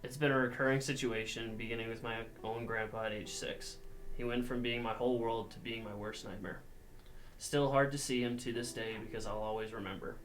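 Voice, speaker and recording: Flat-toned young man reading aloud. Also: medium pitch, a little fast, poor audio. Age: young adult. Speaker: student reader. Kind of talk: reading a personal account aloud. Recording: faint background noise.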